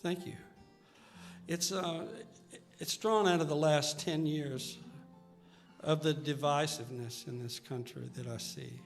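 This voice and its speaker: A man's voice: on the high side, steady tone, unhurried, vocal fry. An older man, a singer-songwriter, chatting casually and earnestly as an interview guest on stage. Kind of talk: casual onstage interview chat. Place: stage of a live music show. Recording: reverberant hall, music behind.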